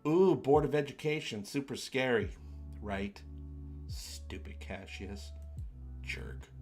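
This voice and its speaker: A man's voice: medium pitch, expressive, takes it slowly, a little mellow, soft background music. A man hosting a read-along, tossing in goofy, playful asides. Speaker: host.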